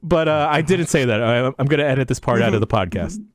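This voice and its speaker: A man's voice: medium pitch, animated, fast, no room sound, studio quality. A man co-hosting a podcast in casual, joking chat, often hesitant and correcting himself as he goes. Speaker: podcast co-host.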